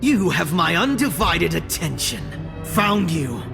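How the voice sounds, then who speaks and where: Cold young assassin, slow measured threats, slightly gravelly, game music behind. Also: male voice, on the high side, sing-song, heavily acted. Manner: cool, mocking. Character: cold young male assassin, arrogant and calculating. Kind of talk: game voice lines.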